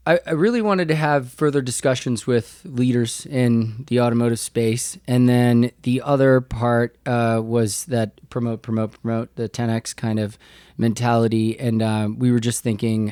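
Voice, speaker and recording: A man talking casually as a podcast guest, informal and halting as he works through his thoughts. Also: mid-range voice, steady tone, darkish tone, clear, resonant tone, no room sound, studio quality. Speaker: podcast guest, business owner. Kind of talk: casual conversational interview.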